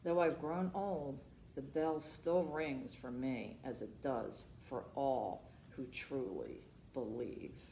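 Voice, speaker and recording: A woman reading a children's picture book aloud as storytime host, expressive and dramatic, pausing for asides and questions to her young listeners. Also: very deep voice. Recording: roomy echo, noticeable background noise.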